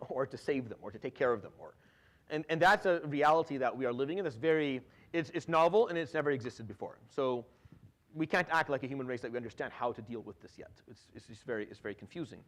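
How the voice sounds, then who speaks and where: A man's voice: mid-range voice, animated, fast talker, fairly bright tone. A man in his thirties, raised in Syria, giving an informal Quran commentary lecture, talking conversationally with many restarts and swift Arabic recitations. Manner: conversational, frequent restarts and repeated words, drops Arabic fillers.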